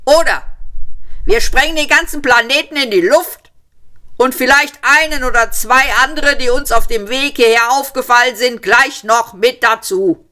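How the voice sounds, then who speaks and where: A woman reading an audiobook, voicing two self-righteous male alien policemen who shout polite threats. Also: a little high, measured pace, voice pushed up. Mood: shouting smugly.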